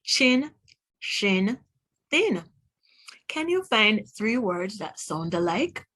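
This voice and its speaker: A woman reading aloud to young children as a teacher, pronouncing words deliberately one by one and putting questions to the listener. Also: mid-range voice, big pitch swings, a bit bright. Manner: deliberate word-by-word enunciation, asks the listener questions. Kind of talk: read-aloud phonics lesson for children.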